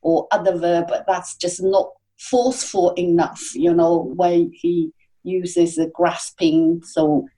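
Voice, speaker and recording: A woman guest on a podcast, speaking English. She talks very slowly and thoughtfully, pausing on uhs. Her voice is low and warm. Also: fairly even intonation, poor audio. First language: Chinese. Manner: thoughtful, hesitant with many uhs. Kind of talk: casual interview chat.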